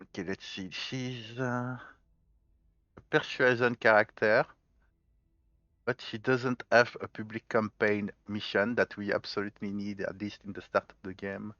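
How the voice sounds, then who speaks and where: Low-pitched male let's play host with a mixed French and Spanish accent, walking through choices out loud, on a dull, dry mic. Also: level tone, measured pace, no room sound. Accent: mixed French, Spanish and Galician. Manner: thinking aloud, explaining step by step. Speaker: gaming channel host.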